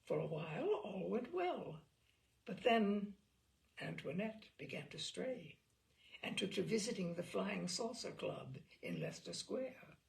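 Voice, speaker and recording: A woman's voice: mid-range voice, very animated, measured pace, vocal fry. A woman reading a story aloud with dry, wry storytelling. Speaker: reader of stories.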